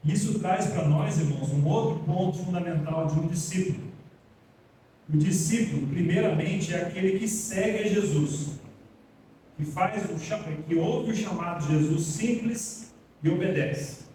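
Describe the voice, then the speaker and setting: A man's voice: sits high, unhurried, warm tone, slight background noise. A man preaching an earnest, plainspoken sermon to his congregation. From Brazil. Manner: earnest, plainspoken preaching, addresses listeners as brothers. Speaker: pastor. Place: church hall. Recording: echoey hall, voice from far off.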